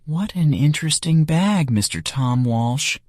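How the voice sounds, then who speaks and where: A man's voice: a little high, expressive, a little slow, a little mellow, dry recording, voice pushed up. Tall thin male policeman, voiced by a male narrator: smug, mocking, gloating, dry storybook recording. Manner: gloating, sardonic.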